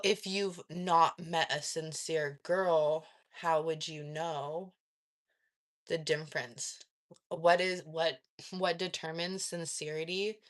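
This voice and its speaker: Woman, stream host, somewhat low voice, casual chat, probing questions, laughs a lot. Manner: probing, asks follow-up questions; laughs often, casual filler words. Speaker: livestream host reading chat.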